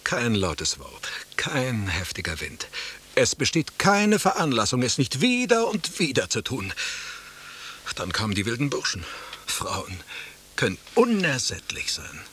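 An actor playing a sly, deferential companion in a radio play, needling with formal courtesy and poetic phrases in a raspy voice. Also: male voice, medium pitch, huge pitch swings, measured pace, fairly bright tone, full character voice. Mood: slyly taunting. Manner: formal, courteous, taunting, declamatory.

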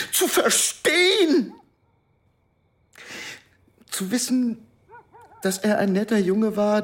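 An actor playing a grieving middle-aged father in a radio drama, halting and subdued. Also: high voice, heavily acted.

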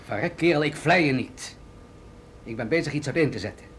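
Commanding general, faint background noise. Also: male voice, on the high side, expressive, brisk, fairly bright tone. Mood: firm. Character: authoritative military general, insistent and businesslike. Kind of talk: Dutch radio drama acting.

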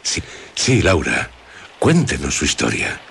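A man playing a mysterious narrator in a radio horror drama, speaking deliberately and suspensefully with long pauses. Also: a bass voice, wildly swooping pitch, gravelly, a bit bright, slight background noise, heavily acted. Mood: insistent, grave. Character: mysterious, ominous male storyteller coaxing a frightened woman. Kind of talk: slow, dramatic radio storytelling.

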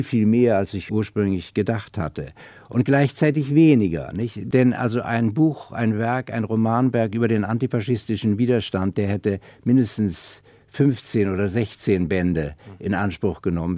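A man's voice: fairly low pitch, dark tone, no echo. A man talking as an author about his own work, reflective and thinking aloud with some hesitation. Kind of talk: reflective interview talk.

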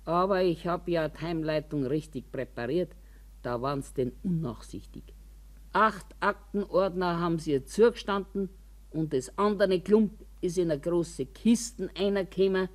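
An older actress playing an embittered, quarrelsome and anxious old care-home resident in a radio monologue, with a Bavarian accent and a grumbling, emphatic, deliberate delivery. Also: female voice, sits low, a little mellow. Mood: spiteful, grumbling. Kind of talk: dramatic radio monologue in colloquial dialect.

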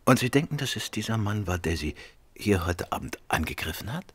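An actor playing a calm, assured gentleman detective in a radio drama, speaking in a measured, polite, probing way. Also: lowish voice, slightly rough.

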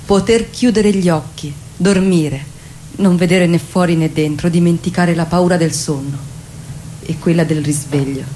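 Radio monologue: actress as a weary, haunted insomniac woman, sombre and mellow, slight background noise. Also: lowish voice, measured pace, close to an everyday voice. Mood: weary, fearful. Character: weary, sleepless woman haunted by fear and memory. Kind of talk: literary monologue reading for radio.

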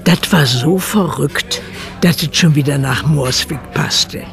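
A gravelly, wry village chronicler. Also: male voice, on the high side, slow pace, over music. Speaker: narrator. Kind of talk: radio drama narration.

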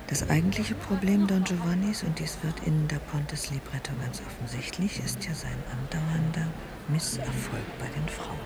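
An actress in a radio play delivering grave, formal commentary like a lecture. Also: female voice, sits low, fairly even intonation, normal speed, hardly any pauses. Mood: didactic, grave. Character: stern female commentator pronouncing on opera morals. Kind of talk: essayistic recitation in a radio play. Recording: music behind the voice.

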